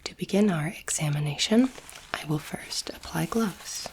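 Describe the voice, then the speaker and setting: A woman voicing a calm, clinical alien doctor in an ASMR roleplay, whispering softly in a measured, formal and soothing way. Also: low voice. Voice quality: soft, breathy whisper. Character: calm clinical alien space doctor examining a human specimen.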